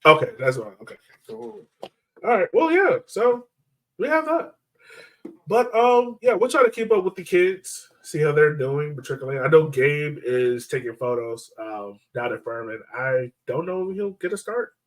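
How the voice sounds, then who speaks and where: A man's voice: on the high side, very animated, normal speed. A man hosting a casual sports podcast, talking in a chatty, informal way.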